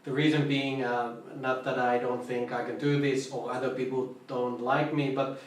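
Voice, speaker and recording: Male Buddhist monk, Finnish accent, halting informal talk, very echoey room, mic at a distance. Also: medium pitch, level tone. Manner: halting, searches for English words. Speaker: Buddhist monk teaching meditation. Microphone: online live stream, distant mic.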